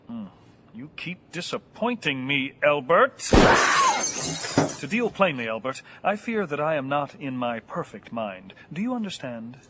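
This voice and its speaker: A man's voice: mid-range voice, very animated, measured pace, background noise. Deranged, theatrical gunman, swooping delivery. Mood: mock-polite menace. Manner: mock-polite, florid, mannered speech. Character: deranged, theatrical, menacing fugitive gunman quoting poetry. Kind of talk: audio horror drama acting.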